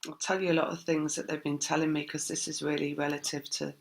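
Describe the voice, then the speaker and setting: A woman giving a casual spiritual tarot reading, her voice croaky, talking in a rambling, reflective way with many fillers. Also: deep voice, little pitch movement, fast. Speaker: tarot reader.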